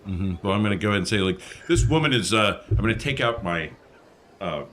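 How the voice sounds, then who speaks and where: With noise in the background, a man speaks in a bright, forceful, fairly low voice. He is a tabletop player improvising casually and haltingly, in and out of character. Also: expressive. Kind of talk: casual in-character role-play and table talk.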